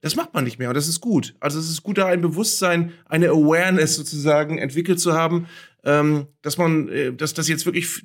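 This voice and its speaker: A middle-aged man talking reflectively and conversationally as co-host of a football discussion. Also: a little high. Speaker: podcast co-host.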